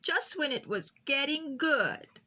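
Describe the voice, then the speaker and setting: Brief: woman playing anxious young schoolgirl for a picture book, high, plaintive, very animated. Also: slow, exaggerated voice. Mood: upset. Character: young schoolgirl, anxious, earnest, easily upset artist.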